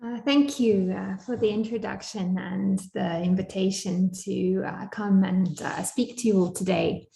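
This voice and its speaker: A young Scottish woman giving an online academic lecture over a video call, measured, with frequent ums. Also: medium pitch, steady tone, gravelly, warm tone, echoey room, poor audio. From Scotland.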